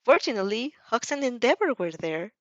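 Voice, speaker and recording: A woman voicing a polite, cheerful animal school principal in a fan fiction audio reading, courteous and gently probing, on a slightly dull home recording. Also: on the high side, very animated, fairly quick, no room sound. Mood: pleased.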